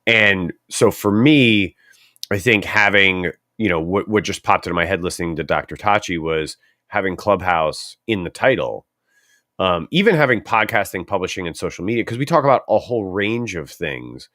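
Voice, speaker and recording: Deep-voiced man with a stuffy head cold. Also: expressive, normal speed, pushed and bright, no room sound. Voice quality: dry throat. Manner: reflective, thinks aloud with many fillers and restarts. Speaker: co-host. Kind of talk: casual panel discussion in a social audio room.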